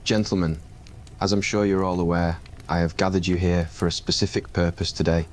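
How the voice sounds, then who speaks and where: Un homme qui joue un jeune roi assuré et taquin, à la voix basse et à l'aplomb tranquille. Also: male voice, brisk, a little hiss or hum, natural voice. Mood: smug, confident. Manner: low, smug and relaxed. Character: confident, teasing young male king.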